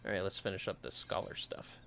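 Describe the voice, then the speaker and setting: A man's voice: deep voice, a little fast, creaky, over music. A man giving casual, chatty gameplay commentary with joking asides as he livestreams a fantasy game. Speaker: livestreamer playing an online fantasy RPG.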